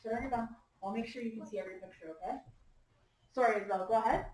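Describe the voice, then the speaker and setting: Lady teacher doing storytime w little kids, patient, kinda high voice, roomy and far from mic. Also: racing, a little hiss or hum. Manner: patient, prompting with questions and gentle instructions. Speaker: teacher reading aloud to young children. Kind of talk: interactive picture-book read-aloud to a class. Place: echoey indoor room with young children. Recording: echoey room, distant microphone.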